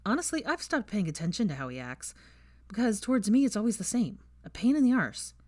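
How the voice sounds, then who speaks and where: A woman's voice: mid-range voice, big pitch swings, brisk, a little mellow, no room sound, pitched above the performer's own voice. A woman voicing a blunt, nonchalant young witch who talks in an offhand, self-assured way.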